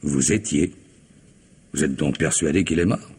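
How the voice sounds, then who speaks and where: An actor playing a stern, probing police commissioner, questioning in a formal, measured way in an old radio drama. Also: male voice, rumbling low, a little mellow, husky, full character voice. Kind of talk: 1960s French radio drama acting.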